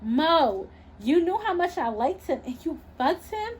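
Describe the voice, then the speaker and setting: Betrayed best friend in an audio novel, performed by a woman: young, high voice, hurt and accusing, emotional. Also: big pitch swings, unhurried, slight background noise. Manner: wounded, accusing.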